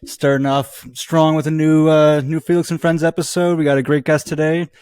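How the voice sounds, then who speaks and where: A man's voice: fairly high pitch, little pitch movement, normal speed, darkish tone, smooth, no room sound, clean studio sound. A man hosting a podcast, casually introducing and questioning his guest. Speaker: podcast host.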